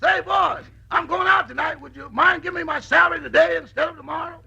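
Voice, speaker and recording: A man playing a cheeky chauffeur and valet who wryly talks back to his boss, in 1940s radio comedy style with a gravelly voice. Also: extremely high pitch, fast, sharp tone, exaggerated voice. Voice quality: gravelly, raspy. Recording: dull old radio broadcast recording.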